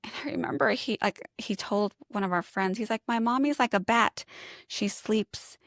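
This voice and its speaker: A woman's voice: fairly high pitch, moderate pace, a bit bright, dry recording, clean studio sound. A middle-aged woman from New York, not a native English speaker, telling personal stories in a casual, candid way as an interview guest. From New York, United States. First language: a language other than English. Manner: candid, informal, self-deprecating. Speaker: interview guest, lactation consultant. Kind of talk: casual conversational storytelling.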